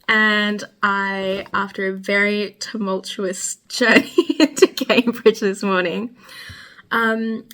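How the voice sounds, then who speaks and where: A woman's voice: mid-range voice, pushed and bright. A young woman with an Australian accent, chatting casually to a travel vlog in a wry, rambling, giggly way. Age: young adult. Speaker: travel vlogger. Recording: music behind the voice.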